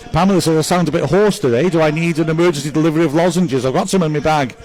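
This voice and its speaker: A man's voice: on the high side, fast talker, a little mellow. Chatty man commentating a match. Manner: chatty, upbeat, praises players one by one. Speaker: club commentator. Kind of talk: live match commentary reading viewer messages.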